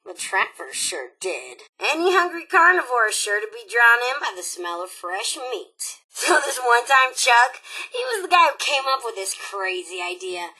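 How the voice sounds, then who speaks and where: Brash, high-pitched raider robot gal. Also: female voice, moderate pace, breathy edge, heavily acted, low-quality recording. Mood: darkly amused. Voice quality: bright, sharp, forceful. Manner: brash, sarcastic, excitable, swearing freely. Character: cheerful, bloodthirsty, mocking female raider robot on radio. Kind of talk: animated video game character acting.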